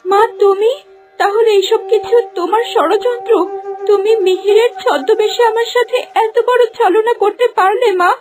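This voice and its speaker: A woman's voice: very high-pitched, normal speed. A woman playing a frightened, trusting young woman who speaks plaintively in a fairy-tale cartoon dub. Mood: hurt, pleading. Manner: plaintive, pleading. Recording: voice under loud background music.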